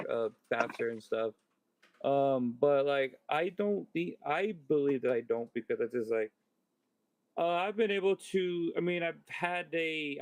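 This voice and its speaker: A man's voice: mid-range voice, a little mellow, dry recording. A man talking casually and candidly as a podcast panelist, a little self-effacing about himself. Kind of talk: casual personal chat.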